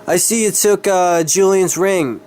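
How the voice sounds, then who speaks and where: Man putting on a theatrical, higher-voiced dark necromancer. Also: unhurried, smooth. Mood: brisk, scheming.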